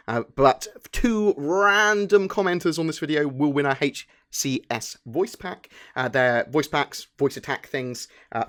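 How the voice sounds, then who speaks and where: A man hosts a gaming news roundup at a medium pitch. He talks informally and chattily, moving through semi-scripted items like a channel regular.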